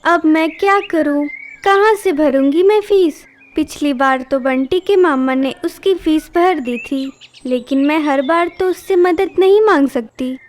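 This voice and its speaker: A woman voicing a poor, gentle mother bird in a cartoon, earnest, apologetic and worried. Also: sits high, unhurried. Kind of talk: Hindi cartoon voice acting.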